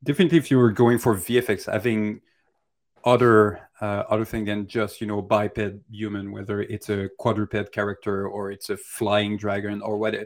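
Male mentor, lowish pitch, off-the-cuff Q&A advice with a halting, searching delivery. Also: level tone. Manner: hesitant, halting, thinking aloud. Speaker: animation mentor giving advice. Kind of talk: unscripted Q&A advice.

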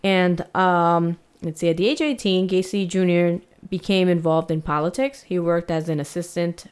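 A woman's voice: on the low side, fairly even intonation, normal speed, darkish tone, clear, resonant tone. A woman with a slight Spanish-influenced accent, co-hosting a casual true crime podcast and telling the story in a chatty way with fillers.

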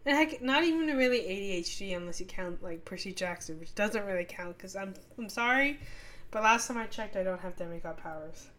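A woman's voice: mid-range voice, lively intonation, brisk, fairly bright tone. Sick-voiced woman podcaster rambling. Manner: rambling, with many fillers and restarts. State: sick with a sore throat. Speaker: podcast host. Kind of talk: casual solo podcast talk.

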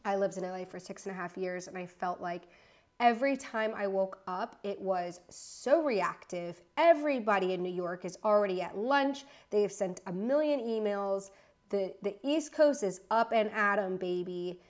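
A woman's voice: mid-range voice, moderate pace. A woman in her thirties from the United States hosting a casual solo podcast from her apartment, chatty and a bit nervous, rambling and correcting herself as she goes. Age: 30s. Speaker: podcast host.

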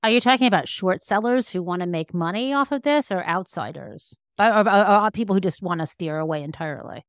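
A woman's voice: mid-range voice, fairly quick, few pauses, dry recording, clean studio sound. A woman speaking as an expert podcast guest, explaining conversationally and emphatically, often rephrasing as she goes. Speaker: interview guest, law professor and author.

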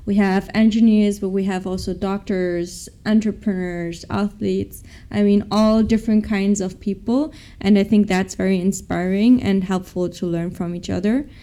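A woman's voice: mid-range voice, fairly even intonation, moderate pace, few pauses, dark tone. A young woman, a former athlete now studying business, answering interview questions in a hesitant, upbeat way with many restarts. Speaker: MBA student, former professional athlete.

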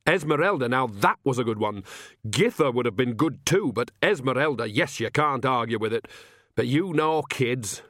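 A man's voice: mid-range voice, animated, moderate pace, fairly bright tone, no room sound, clean studio sound. A man voicing an earthy, self-assured old village woman who chats in a knowing, matter-of-fact way for a storybook. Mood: chatty, amused.

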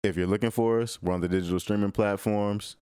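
A man who hosts and edits a podcast, speaking in a quiet, low voice with a measured manner in casual chat and sign-offs. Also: fairly even intonation, clear, resonant tone, dry recording, clean studio sound. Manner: measured, wraps up the show. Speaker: podcast host and editor.

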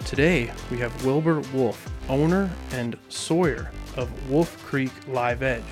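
A man's voice: medium pitch, slow, faint music behind. A man with an American accent hosting a casual interview podcast in a studio. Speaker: podcast host.

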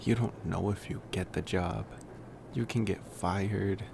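A gentle young vampire acted by a man speaks in a low, creaky voice at a very unhurried pace. He muses and rambles intimately, as in an ASMR roleplay, with pauses between thoughts. Steady noise sits behind the voice. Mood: wistful, pondering. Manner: musing, rambling, with pauses and sighs. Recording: noticeable steady background noise.

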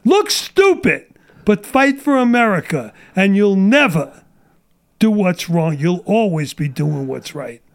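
High-pitched blunt ranting man. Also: very animated, unhurried. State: losing his voice. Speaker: guest. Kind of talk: casual podcast chat.

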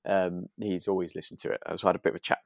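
Low-voiced man, dry close sound. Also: level tone, very fast, no room sound. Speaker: podcast co-host. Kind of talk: relaxed casual chat.

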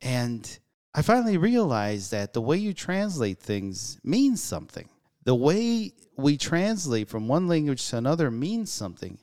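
A man with a smooth, clear voice talking through his thoughts in an earnest, musing monologue. He pauses and restarts often as he works out each idea. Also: fairly high pitch, sing-song, darkish tone. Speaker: podcast host. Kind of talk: solo reflective monologue.

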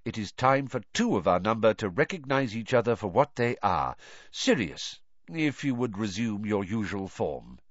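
A man voicing an elderly wizard headmaster in an audiobook, grave, courteous and commanding, speaking in a measured, formal way. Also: on the low side, big pitch swings, no room sound, dull audio. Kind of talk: audiobook character voice.

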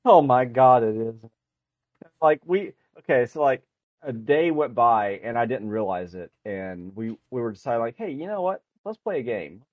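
A man of middling pitch guests on a casual podcast, speaking softly and hesitantly with frequent laughs. He comes through a remote call that sounds dull and lo-fi, with no room sound at all. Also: expressive, moderate pace, dry recording. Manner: soft-spoken, hesitant, laughs often. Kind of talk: casual chat. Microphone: headset over internet call. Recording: dry, dull lo-fi internet call audio.

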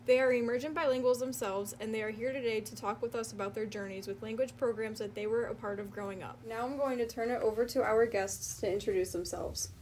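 A woman's voice: fairly high pitch, steady tone, fast talker, hardly any pauses, a little mellow, a little hiss or hum. A young woman co-hosting a casual podcast, introducing guests and asking them questions. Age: young adult. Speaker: podcast co-host.

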